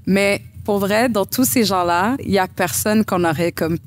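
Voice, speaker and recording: A woman's voice: mid-range voice, moderate pace, slight background noise. Chatty Québécois bride explaining her wedding. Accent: Québécois French. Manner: chatty, explains at length with fillers. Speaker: guest, bride. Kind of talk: casual interview chat.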